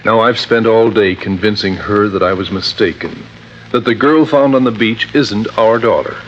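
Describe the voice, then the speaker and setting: A man playing a mild middle-aged husband in a vintage radio play. His low voice is courteous and a little hesitant, with a muffled sound and faint noise. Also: moderate pace, natural voice. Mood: hesitant, earnest. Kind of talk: old-time radio drama acting. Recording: dull, faintly noisy vintage radio recording.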